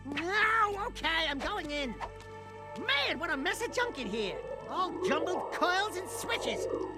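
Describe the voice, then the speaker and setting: Comic animal buddy: cocky coward, high voice, lively swings, cartoon-style game role. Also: male voice, full character voice. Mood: cocky muttering. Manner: brash, fast-reacting, comic. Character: small wisecracking male ottsel sidekick, cocky yet cowardly. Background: loud game music.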